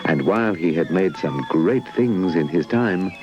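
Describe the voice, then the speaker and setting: A British man narrating a scripted children's story in an expressive, dramatic way. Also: deep voice, unhurried. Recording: muffled old 1980s VHS tape, loud music.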